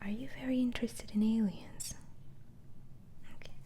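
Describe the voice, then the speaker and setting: A woman whispering an ASMR roleplay as a patient doctor, with breathy, mostly unvoiced speech and hesitant, softly murmured questioning. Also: a little high, steady tone, slight background noise. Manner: slow, hesitant questioning with soft murmured acknowledgements. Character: mild, patient asylum doctor interviewing a patient. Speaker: ASMR roleplay performer playing an interviewing doctor.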